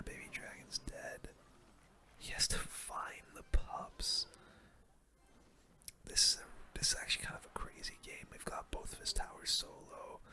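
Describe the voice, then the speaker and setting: A gaming host doing casual ASMR commentary in a soft, breathy, unvoiced whisper, murmuring play-by-play with gamer slang close to the mic.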